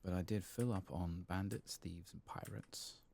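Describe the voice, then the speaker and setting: A man hosting a gaming video in a soft-spoken ASMR style, sometimes whispering, murmuring his thoughts unhurriedly as he plays. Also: low-pitched, steady tone, creaky, slight background noise. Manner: murmured, unhurried musing to himself.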